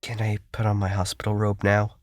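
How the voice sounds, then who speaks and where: A man's voice: lowish voice, monotone, a little fast, dry recording, clean studio sound, close to an everyday voice. A man playing a tired, withdrawn young psychiatric patient in an audio drama, speaking in an anxious, subdued, hesitant way. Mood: uneasy, weary.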